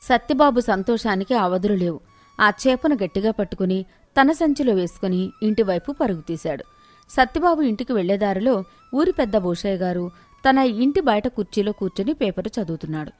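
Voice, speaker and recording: A woman's voice: mid-range voice, expressive, brisk, darkish tone, no echo, soft background music. A woman narrator reading a scripted moral tale in storytelling style.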